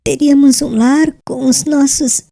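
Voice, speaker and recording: A woman playing a gentle, devout dying young bride in an audiobook drama, speaking weakly, slowly and tenderly in a halting way. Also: high-pitched, fairly even intonation, gravelly, dry recording, studio quality. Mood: frail, consoling. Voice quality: weak, coughing.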